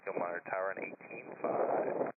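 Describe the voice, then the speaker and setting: A man's voice: low voice, completely flat delivery, normal speed. A man speaking in air traffic phraseology over a radio transmission. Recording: noisy, tinny narrowband aviation radio.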